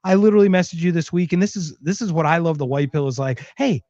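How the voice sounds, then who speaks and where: A man's voice: fairly high pitch, fast, dark tone, no echo, highs cut off. A man hosting a casual podcast conversation in an enthusiastic, effusive way, often repeating himself for emphasis. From Philadelphia, United States. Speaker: podcast host.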